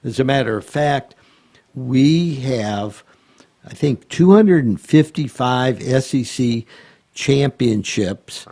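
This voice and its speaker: A man hosting a casual talk show, speaking haltingly with many filler sounds. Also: mid-range voice, expressive, mellow. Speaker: host and law firm founder.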